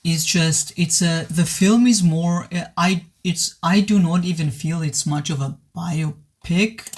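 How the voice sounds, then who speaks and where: Man, slightly high voice, hoarse after illness, halting, earnest film review. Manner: hesitant, many restarts, earnest. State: voice worn from recent illness. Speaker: movie reviewer. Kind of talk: casual spoken film review.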